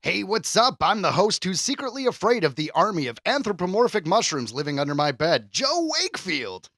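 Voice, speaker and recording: A man's voice: sits high, very animated, a little fast, fairly bright tone, slight background noise. A man co-hosting a podcast in casual, jokey chat, telling things with theatrical flair. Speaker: podcast co-host.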